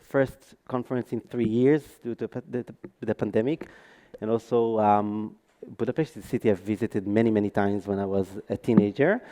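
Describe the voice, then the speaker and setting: A man's voice: lowish voice, mellow. A man from Israel with an Israeli accent, speaking on stage at a conference with frequent ums and restarts. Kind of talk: conference talk.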